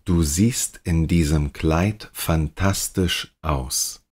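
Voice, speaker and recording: A man whose first language is German, slowly repeating example sentences as a language teacher. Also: a bass voice, darkish tone, husky.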